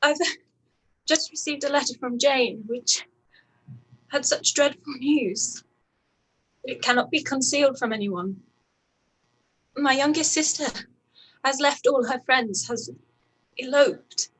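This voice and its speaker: A woman acting a distressed, proud young gentlewoman in a period radio play, with emotional, halting delivery. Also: high voice, poor audio.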